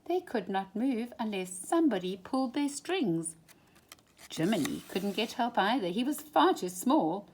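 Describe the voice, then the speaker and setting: A woman storyteller reading a children's storybook expressively, with deliberate, dramatic emphasis. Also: fairly high pitch, very animated, a little mellow.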